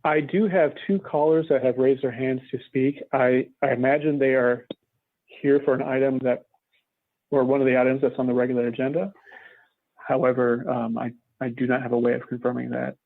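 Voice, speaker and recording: A man's voice: medium pitch, fairly even intonation, normal speed, vocal fry. An American man moderating phone callers at a public meeting, talking in polite procedural language without a script. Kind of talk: polite procedural talk, unscripted. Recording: thin phone-like audio.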